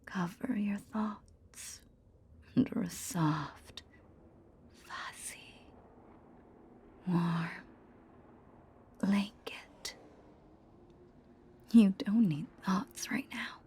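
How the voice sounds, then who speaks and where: A woman playing a possessive, hypnotic goddess in a whispered ASMR roleplay, coaxing a captive pet tenderly but with command, in breathy phrases with long pauses. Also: mid-range voice, warm tone. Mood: hypnotic, soothing. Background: ambient sound effects.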